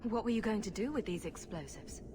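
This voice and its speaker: A woman's voice: mid-range voice, fairly quick, lightly acted. A woman playing a wry, bold female rogue champion in a video game, sarcastic and flippant. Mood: resolute. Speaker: player character in a fantasy game. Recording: echoey cavern reverb, faint noise.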